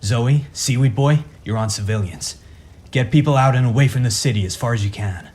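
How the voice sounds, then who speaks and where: A man's voice: mid-range voice, a little fast, a little mellow, lightly acted. A man playing a brash, protective superhero father who leads his team, earnest and wry, in a radio play. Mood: commanding.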